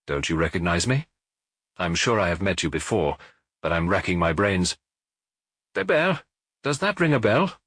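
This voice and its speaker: Man playing a merry, husky ex-pickpocket, lively and chatty. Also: sits low, normal speed, pauses often, no room sound, studio quality, full character voice. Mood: merry, familiar. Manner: jovial, garrulous, familiar. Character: merry, chatty ex-pickpocket, a reformed petty crook past his youth.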